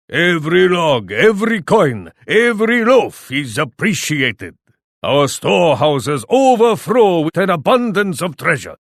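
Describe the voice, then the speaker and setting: An actor playing an arrogant, ruthless warlord in a video game, speaking in a stern, imperious and formal manner. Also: male voice, fairly high pitch, fairly bright tone, no echo, clean studio sound, exaggerated voice. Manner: imperious, stern, formal, refers to himself in third person. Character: arrogant, ruthless, scheming warlord who scorns his allies. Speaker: computer player in a strategy game. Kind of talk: scripted video game voice lines.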